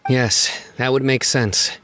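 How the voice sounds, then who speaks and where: An actor playing a stern, principled young male investigator in a video game, speaking in a measured, serious way. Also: mid-range voice, steady tone, sharp tone. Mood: grave, deducing.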